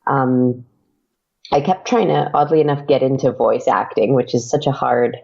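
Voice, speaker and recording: A woman's voice: rumbling low, fairly even intonation, normal speed, gravelly, mellow, husky, muffled recording. A woman speaking casually as an interview guest, thinking aloud in a hesitant way. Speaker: interview guest, writer and podcaster.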